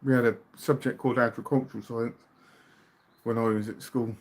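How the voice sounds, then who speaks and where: A man's voice: mid-range voice, fairly quick. Chatty British man hosting a live chat. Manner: relaxed, chatty, trails off into quiet mumbles. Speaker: livestream host. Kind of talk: casual live chat reading viewer comments.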